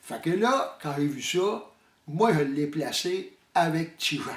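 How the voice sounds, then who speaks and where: A man's voice: fairly high pitch, lively intonation, measured pace. A man with a Quebec French accent telling a comic hunting story in a folksy, hesitant way. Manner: folksy, hesitant, mixes up sayings. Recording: roomy echo, distant mic.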